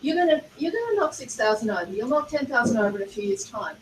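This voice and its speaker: A woman's voice: on the high side, very animated, quick pace. An Australian woman in the audience at a seminar, enthusiastically praising the speakers and asking a question. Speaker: audience member. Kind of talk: question from the floor. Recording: echoey room from far off, faint noise.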